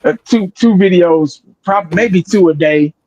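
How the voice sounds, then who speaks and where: A man's voice: sits high, a little slow, darkish tone, low-quality recording. A man, a sports video creator talking candidly in a casual podcast chat, profane and rambling with restarts. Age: 40s.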